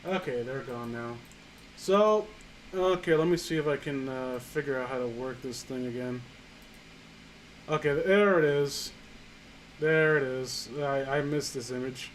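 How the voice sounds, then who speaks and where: A man's voice: mid-range voice, a little slow, a little hiss or hum, rough recording. A man hosting a live stream, chatting casually and bluntly about films, rambling and swearing as he gives opinions.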